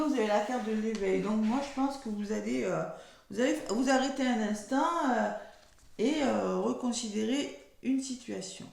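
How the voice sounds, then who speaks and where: French woman reader, hoarse and softly spoken, gravelly fry, echoey room. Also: on the high side. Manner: soft-spoken, slow and measured when reading cards aloud. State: losing her voice, hoarse. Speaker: tarot card reader. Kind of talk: weekly horoscope card reading.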